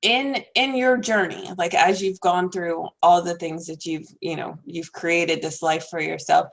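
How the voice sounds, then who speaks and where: A woman's voice: fairly low pitch, fairly even intonation, unhurried, vocal fry. A woman hosting a podcast, enthusiastic, laughing mid-sentence and saying "like" often. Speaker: podcast host. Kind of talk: casual interview chat.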